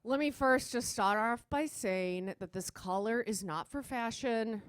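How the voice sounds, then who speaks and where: A woman's voice: on the high side, a little slow, loud music behind the voice, close to an everyday voice. A woman playing a gossipy Renaissance-era lady doing a sarcastic, conversational stand-up routine peppered with "like". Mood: sardonic. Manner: conversational valley-girl sarcasm, full of "like".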